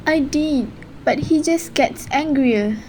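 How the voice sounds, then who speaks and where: A woman's voice: high-pitched, takes it slowly, a little mellow, a little hiss or hum, low-quality recording. A woman playing a weary, hurt wife and mother in an amateur radio drama. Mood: weary, bitter.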